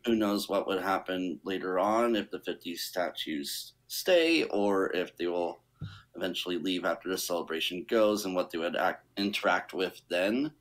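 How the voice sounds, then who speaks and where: A man's voice: deep voice, normal speed. A young man joining a podcast as a guest over a video call, answering in a hesitant, thinking-aloud way. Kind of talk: casual podcast chat.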